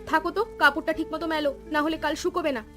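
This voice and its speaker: A woman voicing a practical, caring village wife in a Bengali cartoon story, brisk and affectionately scolding. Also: high voice, level tone, a bit bright, faint music behind.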